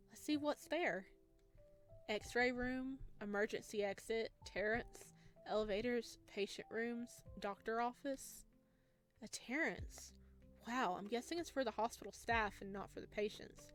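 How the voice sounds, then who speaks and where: A woman's voice: a little high, measured pace. A woman doing a let's play, reading game text aloud and adding casual reactions. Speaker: host playing and reading a visual novel. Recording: music behind the voice. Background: background music.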